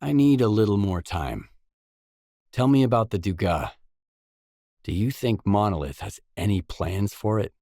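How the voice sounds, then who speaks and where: A wary stalker from a video game, a blunt loner with a low voice. He talks in terse, matter-of-fact questions and short replies. The recording is dry and close, with no room on it. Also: expressive, a little slow, long pauses, warm tone, no echo. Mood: guarded, businesslike. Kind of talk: video game dialogue lines.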